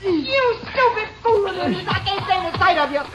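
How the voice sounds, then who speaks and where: An actress playing a furious, scornful murder accomplice in a 1950s radio crime drama, shrill and melodramatic. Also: female voice, lively intonation, normal speed. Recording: old radio recording under loud music.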